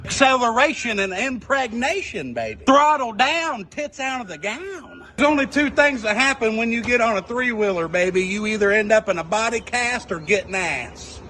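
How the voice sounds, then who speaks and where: A man's voice: very high voice, moderate pace, hardly any pauses, bright, forceful tone. A man delivering a brash, crude comic sales rant in the manner of a hollering hype-man. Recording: noisy outdoor clip with ambience.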